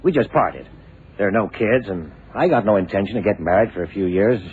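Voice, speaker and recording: An actor playing an evasive young lawyer in a radio drama, talking in a hesitant, defensive, colloquial way. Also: male voice, lowish voice, animated, a little fast, phone-quality sound, close to an everyday voice. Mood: defensive, casual. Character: evasive, ambitious young American male lawyer. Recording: thin, noisy 1960s radio drama recording.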